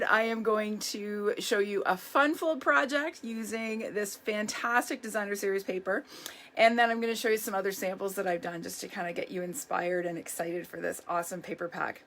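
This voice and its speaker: A hoarse woman hosting a live craft demonstration, chatty and upbeat, greeting viewers by name as she works. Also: medium pitch, fairly bright tone. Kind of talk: live craft demo chat.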